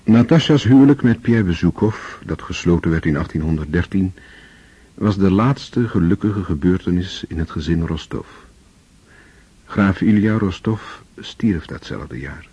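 A man narrating a radio play in a measured, solemn manner. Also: low-pitched, animated, dark tone, breathy edge, dry recording. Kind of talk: scripted literary narration. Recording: dull lo-fi old radio recording.

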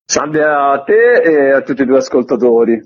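A man's voice: medium pitch, expressive, moderate pace, husky, dull audio. A man speaking as a festival curator in an interview, wordy, formal and promotional.

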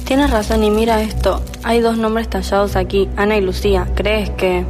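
Female amateur as uneasy young woman in a ghost radio play; even delivery, music underneath. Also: mid-range voice, normal speed, lightly acted. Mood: uneasy, mysterious. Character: Fernanda, uneasy young woman exploring a haunted orphanage. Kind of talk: amateur school radio drama. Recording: music and effects behind.

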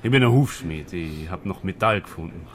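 A simple, earthy blacksmith with a deep Austrian voice, colloquial and plain-spoken, weary of war. A clear vehicle rumble runs behind him, as in a radio play. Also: male voice, lively intonation, brisk. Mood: plain-spoken, rueful. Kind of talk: naturalistic radio drama dialogue.

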